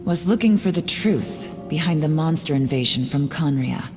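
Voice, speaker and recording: A woman playing a secretive, composed young investigator in a video game, speaking in a measured, serious way. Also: low-pitched, expressive. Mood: solemn, grave. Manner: measured, serious, deliberate delivery. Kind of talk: dramatic video game voice acting. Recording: long reverberant hall sound. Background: faint background music.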